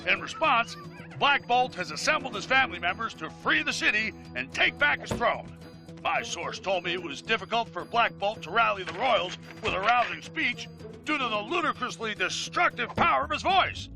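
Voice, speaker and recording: A man playing a blustery, excitable newsman in a cartoon video game, bombastic and sarcastic in his commentary. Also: high-pitched, normal speed, creaky, over music, rough recording. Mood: indignant, bombastic. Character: blustery, excitable male newsman ranting on a live stream. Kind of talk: theatrical news commentary, cartoon game acting.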